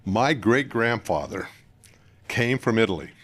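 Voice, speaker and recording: An older American man from northern Utah, a guest candidate giving plain-spoken, conversational interview answers in measured phrases. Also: lowish voice, expressive, fairly bright tone. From northern Utah, United States. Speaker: political candidate, podcast guest.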